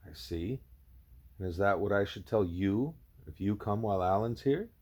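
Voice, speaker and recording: A man voicing a calm, wise male gorilla teacher from a storybook, speaking in measured, formal, patient phrases. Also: fairly low pitch. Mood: calm, questioning.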